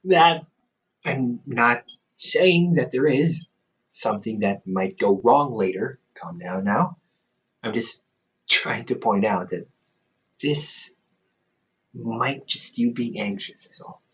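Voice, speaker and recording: A man playing a teasing, reassuring older brother, a scholar in his thirties, in an intimate, casual audio roleplay with halting, conversational delivery. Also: mid-range voice, expressive, a bit bright, low-quality recording. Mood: gently reassuring. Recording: hobby microphone with faint background noise.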